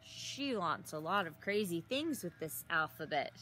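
A woman in her thirties reading a picture book aloud to young children outdoors, expressively, with exaggerated gasps and drawn-out words. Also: fairly low pitch, big pitch swings, sharp tone. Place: outdoors in a leafy garden. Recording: outdoor recording with some ambient noise.

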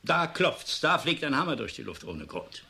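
An actor playing a disillusioned, weary professor in a radio drama, musing and sardonic. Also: male voice, a little high, big pitch swings, gravelly, pushed and bright, heavily acted. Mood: resigned. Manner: musing, sardonic, halting and emphatic by turns. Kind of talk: German radio drama acting.

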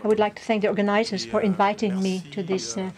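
A woman giving a live simultaneous interpretation of a conference speech, in halting, piecemeal phrases with repeated words. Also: mid-range voice, level tone, darkish tone.

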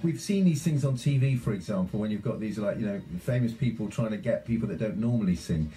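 A man's voice: lowish voice, expressive, fast talker, mellow, faint music behind, poor audio. A man hosting a community radio show, chatting casually and rambling with lots of fillers. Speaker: radio host.

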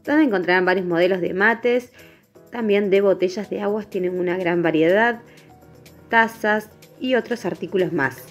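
A woman's voice: mid-range voice, moderate pace. A woman narrating a shopping vlog in voice-over. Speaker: vlogger. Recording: dull lo-fi voice-over with music. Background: background music.

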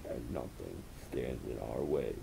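A deep, creaky teenage brother as a man puts him on, steady and reassuring. He speaks slowly and firmly in a homemade story reading with faint rumbling noise. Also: exaggerated voice. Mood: calm resolve. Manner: slow, firm, reassuring.

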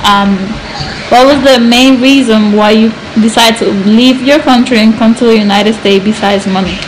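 A woman's voice: fairly high pitch, fairly even intonation, normal speed. A woman interviewer asking questions hesitantly, with fillers. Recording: street noise behind.

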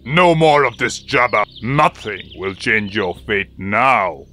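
An actor playing a furious, imperious lion tyrant in a cartoon, pompous and menacing. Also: male voice, lowish voice, animated, slow, pushed and bright, heavily acted. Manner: pompous, menacing, growling threats. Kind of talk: animated cartoon acting. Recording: music behind the voice.

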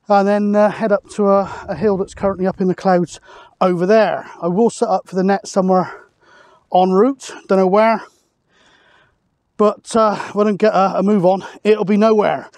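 A man's voice: high-pitched, fairly even intonation, moderate pace, darkish tone, dry recording. A British man in his forties chatting casually to camera as a vlogger, recorded outdoors on an open hilltop. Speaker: vlogger, CB radio hobbyist. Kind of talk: casual outdoor vlog talk.

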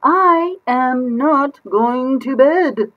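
A woman voicing a stubborn, defiant little girl from a picture book, emphatic and drawn-out in a sing-song way. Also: high voice, expressive, highs cut off, pitched above the performer's own voice, heavily acted.

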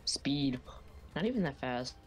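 A woman casually chatting during an online game, laid-back and steady, telling the others where to go. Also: extremely low pitch, measured pace, faint music behind. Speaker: gamer playing with friends. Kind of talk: casual gameplay chat.

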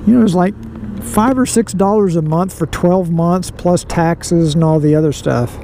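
A man's voice: sits high, moderate pace, mellow. A middle-aged man vlogging as he rides a motorcycle, recorded on a helmet mic, telling a story in a folksy, rambling way with lots of asides. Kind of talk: casual riding vlog monologue. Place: outdoors riding a motorcycle on city roads. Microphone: helmet mic, helmet-mounted camera. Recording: road and wind noise from riding.

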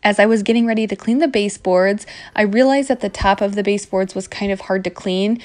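A woman's voice: mid-range voice, a little fast, a little mellow. A woman in her thirties giving a casual voice-over as a home cleaning vlogger, talking directly to viewers. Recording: music playing behind voice. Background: background music.

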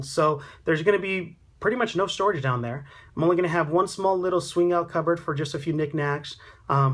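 A man with a slightly high voice gives a casual, chatty tour of his half-built tiny house and points things out as he goes. He talks into a handheld phone camera inside a small wooden room. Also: fairly bright tone. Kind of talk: casual walkthrough vlog.

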